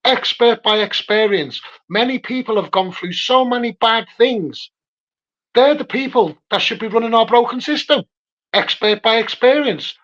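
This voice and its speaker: Through dull, low-fidelity audio comes a man with a high voice and a Scouse accent. He speaks casually but with earnest emphasis as an interviewer, returning to his key phrases to press his points. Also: normal speed, a bit bright. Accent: Liverpool (Scouse). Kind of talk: casual advocacy chat.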